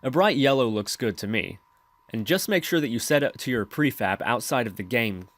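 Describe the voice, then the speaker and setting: A man's voice: medium pitch, lively intonation, moderate pace, fairly bright tone, no room sound. Man narrating a tutorial, close and dry. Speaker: tutorial host. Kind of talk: scripted software tutorial narration.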